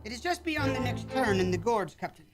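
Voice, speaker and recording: A calm and dignified alien villager , acted for a radio play, speaking in measured, formal phrases. The voice sits at a middling pitch and carries a stately, unhurried manner. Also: over music, lightly acted. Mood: solemn, welcoming. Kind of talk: radio drama acting.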